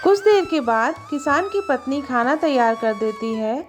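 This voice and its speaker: A woman's voice: high-pitched, expressive, moderate pace, soft background music. A woman narrator reading a scripted story in a storytelling style.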